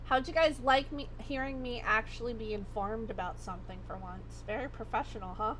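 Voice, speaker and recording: A woman livestreamer chatting casually, her voice going hoarse as she rambles and swears freely. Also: a little high, fairly quick, a bit bright, a little hiss or hum, poor audio. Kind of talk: casual chat.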